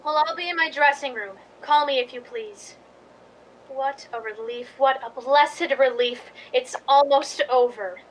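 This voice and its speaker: A woman's voice: high voice, measured pace, a little hiss or hum, poor audio. A woman playing an imperious, affectedly gracious leading lady in a radio drama, theatrical and condescending. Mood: weary, relieved.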